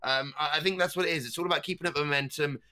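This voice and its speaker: A man who stutters, chatting casually as a streamer guest on a podcast and breaking into loud meme voices now and then. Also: fairly high pitch, racing.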